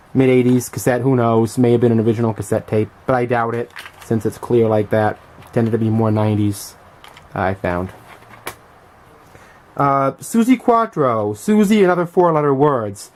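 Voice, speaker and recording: A middle-aged man giving a casual, unscripted show-and-tell commentary as a collector, thinking aloud in a halting, hesitant way. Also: medium pitch, a little mellow. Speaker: vlogger and music collector showing finds.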